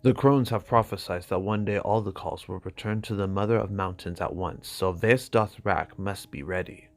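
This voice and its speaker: A man voicing a seasoned exiled knight and loyal advisor, speaking gravely and with measure in a dramatic fantasy reading. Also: sits low, normal speed, faint music behind, pitched below the performer's own voice. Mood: sober explaining.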